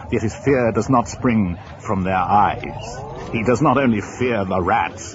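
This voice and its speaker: An actor playing a grave storyteller, narrating a horror radio drama in a deliberate, suspenseful and literary manner. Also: male voice, on the low side, natural voice. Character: grave, ominous male storyteller of a horror tale. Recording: dull, lo-fi, noisy radio drama recording.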